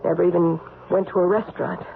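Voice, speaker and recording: An actress playing a politician's secret lover, reassuring but exasperated, insistent and reasoning, in an old-time radio drama. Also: female voice, lowish voice, steady tone, fast talker, vocal fry. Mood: reflective. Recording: noisy, tinny old radio broadcast.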